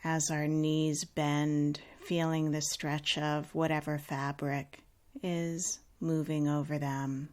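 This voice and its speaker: A woman leading a guided meditation in a calm, unhurried, gently instructive way with long pauses. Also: sits low.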